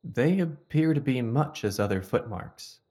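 A man playing a polite, earnest gentleman in a Victorian detective audio drama, speaking courteously and formally. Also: medium pitch, lively intonation, measured pace, slightly rough. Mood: observant.

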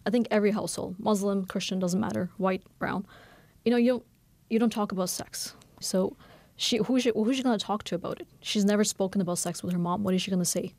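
A woman in her thirties, a novelist who grew up speaking Norwegian and has roots in Bangladesh, talking candidly and quickly in a radio interview with lots of fillers. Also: medium pitch, dry recording. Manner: fast, candid, animated with many fillers. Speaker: novelist guest.

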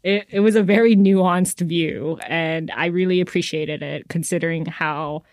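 A woman co-hosting a podcast, chatting casually about a book with lots of fillers and lively retellings. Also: fairly low pitch, moderate pace, no echo, clean studio sound. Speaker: podcast co-host.

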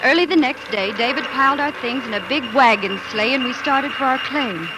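An actress playing a devoted, excitable young pioneer bride, telling her story in earnest, lyrical 1940s radio drama style. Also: sits high, brisk, close to an everyday voice, rough recording. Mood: wistful, happy. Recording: old 1940s radio broadcast, music underneath.

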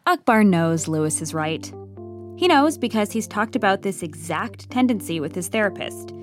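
A woman's voice: medium pitch, lively intonation, normal speed, soft background music, lightly acted. A woman reading scripted story narration as the narrator of an audio drama.

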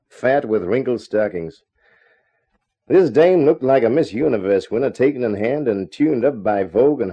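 An actor playing a wry, hard-boiled American private eye in a 1950s radio detective drama, deadpan and wisecracking. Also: male voice, mid-range voice, slightly rough, muffled recording. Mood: wry, admiring.

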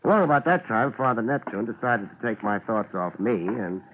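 Deep-voiced, wry American radio private eye. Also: male voice, moderate pace. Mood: wry narrating. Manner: wry, deadpan wisecracks. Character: wisecracking, laid-back male private detective narrating his case. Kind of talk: 1950s radio drama acting, hard-boiled narration. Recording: noisy, muffled 1950s radio recording.

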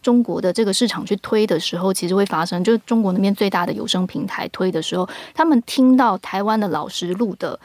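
Woman, Taiwanese Mandarin accent, mid-pitched, earnest explainer, interview guest, dry studio sound. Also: quick pace, hardly any pauses, a little mellow, no echo. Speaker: guest, audio drama producer. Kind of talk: interview talk.

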